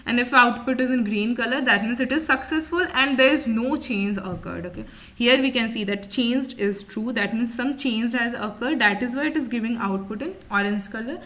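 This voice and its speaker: Bright, high-voiced woman teaching software. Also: moderate pace. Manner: repetitive, step-by-step explaining. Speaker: instructor. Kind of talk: software tutorial, explaining a screen demonstration.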